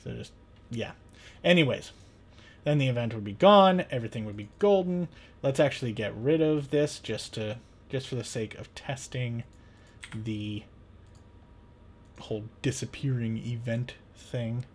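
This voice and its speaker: A young adult man hosting a casual software tutorial, thinking aloud in an informal, playful, rambling way. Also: mid-range voice, a little slow, pauses often. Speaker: tutorial host. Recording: clear background noise.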